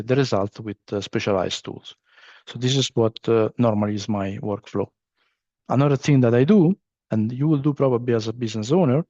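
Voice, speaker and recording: A man's voice: medium pitch, a little mellow, no room sound, dull audio. A man with an Italian accent, a non-native English speaker, giving a training session with many fillers and restarts. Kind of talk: online training presentation.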